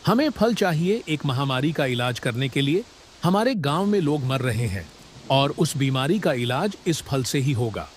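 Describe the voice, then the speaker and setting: Casting: a man playing a bright, brave young villager, animated and earnest. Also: medium pitch, normal speed, slight background noise, natural voice. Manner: eager and polite, lively delivery. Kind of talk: cartoon voice acting.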